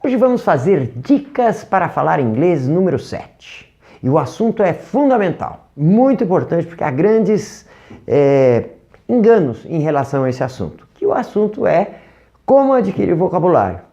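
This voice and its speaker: An English teacher with a Brazilian Portuguese accent gives an online lesson, animated, asking rhetorical questions and demonstrating sounds. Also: mid-range voice, moderate pace, lo-fi sound.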